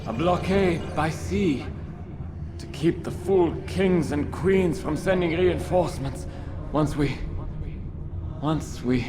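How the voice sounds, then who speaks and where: An actor playing a paranoid, tyrannical knight commander in a video game, with an accusing, theatrical delivery. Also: male voice, a little high, slow, pauses often, noisy background. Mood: resigned, bitter. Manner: accusing, theatrical, swinging from menace to shouting. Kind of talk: dramatic video game acting.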